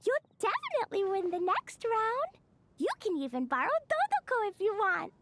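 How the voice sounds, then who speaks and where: A woman's voice: extremely high pitch, moderate pace, heavily acted. A bubbly little girl. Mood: excited. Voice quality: bubbly, excitable. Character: cheerful small child girl. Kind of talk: game voice acting.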